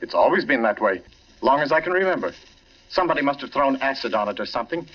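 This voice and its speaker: An actor playing a flustered, defensive gentleman in 1940s radio drama style, halting and protesting. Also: medium pitch, animated, natural voice. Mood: uneasy explaining. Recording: muffled old radio broadcast.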